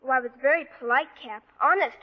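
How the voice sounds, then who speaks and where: A woman's voice: sits high, quick pace. A young actress playing a cheerful, plucky, chatty little girl in a radio drama. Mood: earnest. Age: child performer. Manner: earnest, chatty, bossy little helper. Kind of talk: 1940s radio drama acting. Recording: muffled vintage radio broadcast.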